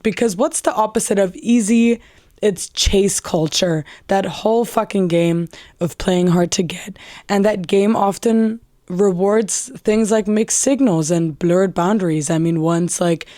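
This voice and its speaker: Young woman podcasting solo, raspy tired voice, chatty, lots of "like" and swearing, kinda low and mellow. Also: no echo, clean studio sound. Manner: candid and profane, filler-heavy, chatty. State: tired, voice worn from a weekend out. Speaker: podcast host. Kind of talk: casual solo podcast monologue.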